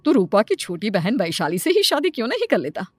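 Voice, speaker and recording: A woman's voice: medium pitch, huge pitch swings, a little fast, darkish tone, no echo, studio quality. A woman voicing an insistent, persuasive elderly mother and grandmother in a cartoon story.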